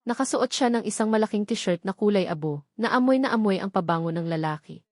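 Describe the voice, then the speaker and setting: A woman with a Filipino accent reads a scripted romance story as a storyteller, with sentimental, dramatic phrasing and pauses. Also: medium pitch, animated, darkish tone, no room sound, studio quality.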